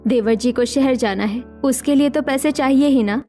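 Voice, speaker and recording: A woman's voice: high-pitched, moderate pace, warm tone, faint music behind. A woman voicing a caring, hardworking young daughter-in-law in a village story, earnest and reassuring. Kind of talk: scripted story dialogue.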